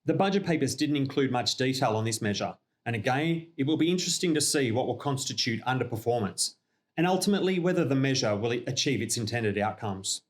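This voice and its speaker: An Australian man with a mid-range voice presents a scripted corporate update. He speaks in a measured, businesslike way and explains each point as a company representative would to clients. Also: mid-range voice, animated. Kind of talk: scripted informational narration.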